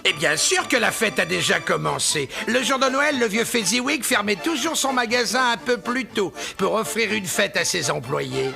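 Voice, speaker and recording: Cartoon old miser: high, crackly, excitable, theatrical. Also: male voice, normal speed, creaky, hardly any pauses, music in the background, full character voice. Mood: nostalgic delight. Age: older. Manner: theatrical, exclamatory, stammering when troubled. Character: excitable old miser, nostalgic then frightened and pleading. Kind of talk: French cartoon voice acting.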